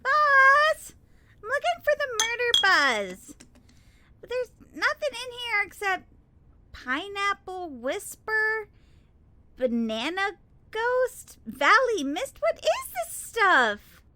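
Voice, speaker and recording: A woman playing an excitable, loyal young sidekick in an audio drama, exclamatory, dramatic and incredulous. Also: very high voice, slow pace, a little hiss or hum, exaggerated voice.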